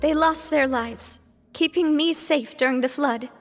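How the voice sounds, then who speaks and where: A young gang leader with a high voice, poised and gracious. Her lines are sincere game dub acting, in long reverb over faint music. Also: measured pace. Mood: sorrowful. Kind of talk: English video game dub.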